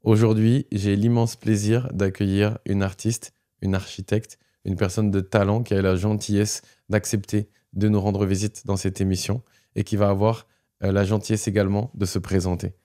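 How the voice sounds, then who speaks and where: A man's voice: lowish voice, level tone, moderate pace, mellow. A man hosting a podcast interview, welcoming his guest and laughing easily. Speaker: podcast host.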